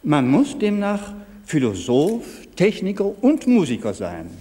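A man's voice: on the high side, wildly swooping pitch, unhurried, mellow, husky, full character voice. A man speaking formal, articulate German diction in a scripted radio-essay dialogue, as a questioning partner. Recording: long reverberant hall.

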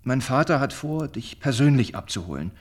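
An actor in a German radio play, playing a lovesick young gentleman, earnest and measured as he voices heartfelt letters. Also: mid-range voice, animated, close to an everyday voice. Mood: tender, longing. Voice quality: husky, breathy.

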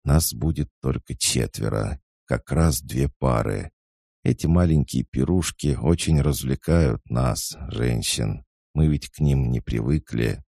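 A man's voice: a bass voice, a little slow, gravelly, dark tone, dry recording, studio quality, full character voice. A man doing a teasing, elegant young lady. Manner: teasing, coquettish.

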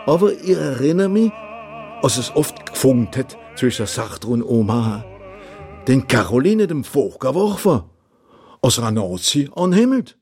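An actor playing an older count, modest and amused, who reminisces in a colloquial, halting way. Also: male voice, mid-range voice, big pitch swings, a little mellow, breathy edge, faint music behind, full character voice. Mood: reflective.

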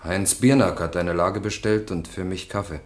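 Actor as a sullen, low-voiced defendant, echoey room. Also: male voice, fairly even intonation, normal speed, close to an everyday voice. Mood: sullen, evasive. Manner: terse, reluctant answers. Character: evasive, sullen male defendant on trial. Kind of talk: German radio crime drama acting. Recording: roomy, echoing radio play sound.

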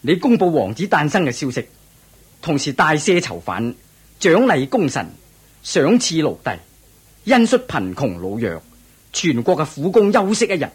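Husky, animated, regal king played by a man. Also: a little high, moderate pace, exaggerated voice. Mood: proud, commanding. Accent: Hong Kong Cantonese. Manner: theatrical, regal, expressive. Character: proud, doting ancient Indian king, anxious about his heir. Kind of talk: Cantonese Buddhist radio drama acting.

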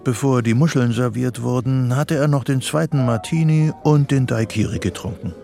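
An actor playing the sober narrator of a radio thriller, telling the story in a measured, detached way. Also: male voice, medium pitch, gravelly, close to an everyday voice. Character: sober third-person narrator of a psychological thriller. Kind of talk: scripted radio play narration. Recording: music under the narration. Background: music.

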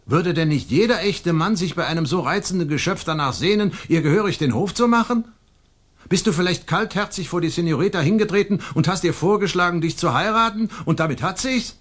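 A domineering old nobleman in a radio drama. His voice is husky and fairly high for a man, swinging widely in pitch. He speaks imperiously and emphatically, as a stern father used to being obeyed. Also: animated, brisk. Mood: indignant. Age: older. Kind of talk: German radio play acting.

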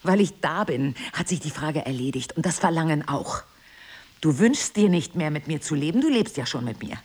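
An actress playing a middle-aged, wounded and jealous wife in an intimate drama, with intense, emphatic phrasing. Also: female voice, sits low, lively intonation, normal speed, a little mellow, husky. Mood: bitter, pained. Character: wounded, bitter, jealous wife, drinker, ageing and proud. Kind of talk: intimate stage-play dialogue and confessional monologue.

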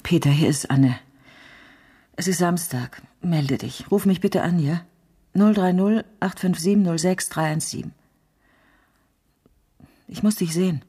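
An actress playing an urgent, anxious woman who is gentle with animals, in naturalistic radio drama with a breathy delivery. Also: on the low side, a little slow, pauses often.

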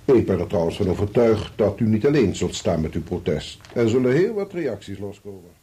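An actor playing a courteous, sober senior lawyer in a radio play, speaking formally and in a measured way. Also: male voice, lowish voice, sing-song, warm tone, slight background noise. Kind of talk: Dutch radio play acting.

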